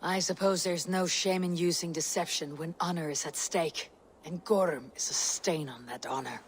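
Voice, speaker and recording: Woman acting as a low, husky, proud Viking warrior woman. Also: normal speed, a little hiss or hum, natural voice. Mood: wry, guarded. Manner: terse, blunt, brooding. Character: proud, vengeful young female Viking warrior. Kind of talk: dramatic video game acting.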